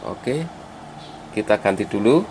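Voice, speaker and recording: A man gives an informal hands-on tutorial step by step, addressing viewers as friends and halting as he works. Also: lowish voice, lively intonation, darkish tone. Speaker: electronics repair hobbyist presenting a tutorial. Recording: clearly noisy amateur recording.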